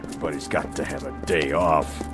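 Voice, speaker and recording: A man's voice: rumbling low, fairly even intonation, brisk, music in the background. An actor playing a haughty, grumbling wealthy businessman in a television drama, imperious and dismissive.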